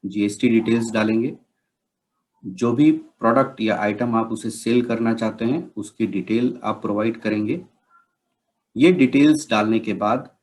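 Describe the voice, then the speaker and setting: A man narrating an instructional software demonstration, explaining step by step and mixing in English technical terms. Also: mid-range voice, low-quality recording.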